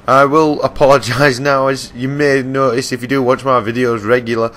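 Hoarse, croaky man commentating a sim race. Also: mid-range voice, little pitch movement, moderate pace, a bit bright. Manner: chatty, rambling with restarts. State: hoarse, losing his voice. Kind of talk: live gameplay commentary.

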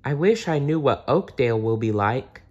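A man reading a storybook aloud and voicing a sad five-year-old farm girl in a high, pleading voice. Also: lively intonation, normal speed, darkish tone, close to an everyday voice. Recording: dull lo-fi recording.

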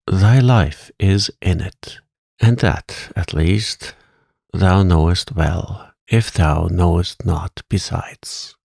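A doting old witch as a man reads her, coaxing in quaint thee-and-thou speech with a sharp edge. The voice stays low and gravelly, at an unhurried pace. The sound is dry and close. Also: no room sound, full character voice. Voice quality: high, sharp tone. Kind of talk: audiobook character reading.

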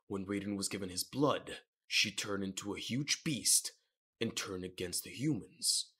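Husky, measured manga narrator. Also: low voice, fairly bright tone. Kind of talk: scripted narration of a manga audio drama.

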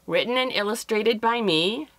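A middle-aged woman reads a children's picture book aloud in an expressive, deliberate storytelling manner. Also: a little high, no echo. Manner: expressive, deliberate, with drawn-out phrases. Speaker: author reading her picture book aloud.

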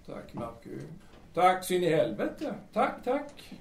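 Man playing a high-voiced, volatile playwright, echoey room. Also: huge pitch swings, exaggerated voice. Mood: agitated, defiant. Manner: agitated, theatrical, repeats himself. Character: volatile, grandiose young male playwright fond of absinthe. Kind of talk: audio drama acting. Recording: distant, echoey room with faint noise.

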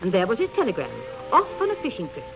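A woman's voice: mid-range voice, huge pitch swings, fast talker. An actress in a 1930s radio drama playing a gushing, theatrical society woman who dotes on her pet, effusive and breezy. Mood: breezy indignation. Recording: dull 1930s radio recording with music.

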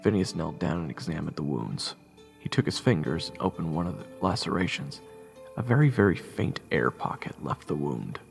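A man playing a grim, watchful adventurer who narrates a fantasy audio drama gravely and deliberately. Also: extremely low pitch, sing-song, exaggerated voice. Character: grim, watchful male fantasy adventurer telling his tale. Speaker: narrator and character. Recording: music playing behind. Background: background music.

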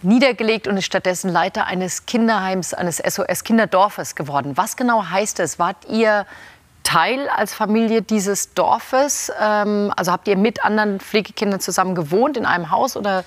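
A woman's voice: medium pitch, normal speed, no room sound. A woman in her fifties hosting a TV talk show, asking friendly questions and nodding along with frequent tag questions. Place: TV studio set.

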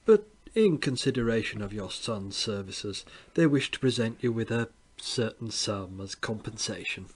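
A man voicing an uneasy company messenger who stammers and speaks quietly. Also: lowish voice, expressive, normal speed, hardly any pauses, husky. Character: ill-at-ease, stammering male company visitor.

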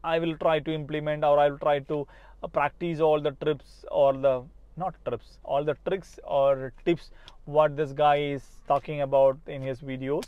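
High-voiced Malayali man talking in a car. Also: little pitch movement, normal speed, clean tone, no echo, phone-quality sound. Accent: Malayali English. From Kerala, India. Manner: earnest, repeats and restarts phrases, addresses viewer directly. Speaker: spoken English coach. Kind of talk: casual motivational talk to camera. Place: inside a parked car. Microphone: lapel mic.

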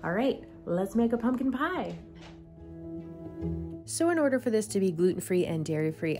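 A woman's voice: on the low side, darkish tone. A woman in her thirties chatting casually in a home cooking vlog, walking through recipes step by step. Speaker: vlogger. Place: home kitchen, then a room with bookshelves.

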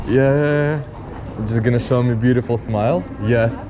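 A man with a Russian accent, chatting casually with strangers on a city street while taking photos, friendly and quick to laugh, recorded on a chest-mounted camera. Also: medium pitch, fairly even intonation, music in the background. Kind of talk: casual vlog chat with strangers.

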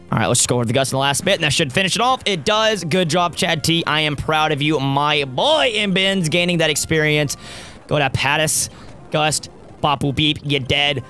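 An excitable young man narrates his playthrough in a slightly high, raspy, worn voice. He talks close to a studio mic at a home gaming setup and cheers his team on loudly. Faint game music plays behind him. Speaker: gaming YouTuber. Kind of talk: Let's Play commentary. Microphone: studio mic close up, seen.

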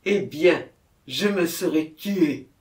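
A man's voice: on the high side, slow pace, roomy sound. A man voicing a terrified, anguished male murderer in an audiobook, pleading and repeating himself in exclamations. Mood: terrified despair.

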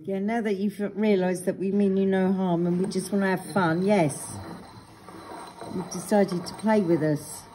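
A woman ghost hunter, cheerfully urging a spirit on in a dark church at night. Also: mid-range voice, fairly even intonation, moderate pace, slight background noise, low-quality recording. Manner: encouraging, cheerful.

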